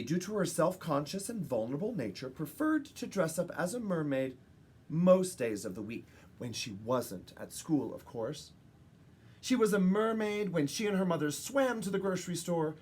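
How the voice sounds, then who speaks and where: A woman reads a children's picture book aloud in an expressive way, with dramatic pauses and emphasis for young listeners. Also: low-pitched, husky. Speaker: children's story reader.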